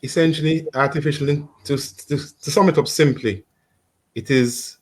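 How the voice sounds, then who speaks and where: A man's voice: a little high, fairly even intonation, moderate pace, a little mellow. A male guest explaining technology on the radio, hesitant, with many ums and restarts. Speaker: guest expert on artificial intelligence. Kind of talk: remote radio interview.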